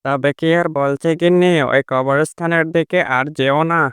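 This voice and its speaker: A man's voice: fairly high pitch, steady tone, normal speed, fairly bright tone, clear, resonant tone, dry recording, studio quality, natural voice. A man voicing a timid, earnest village husband in a cartoon, pleading and explaining. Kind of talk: cartoon voice acting.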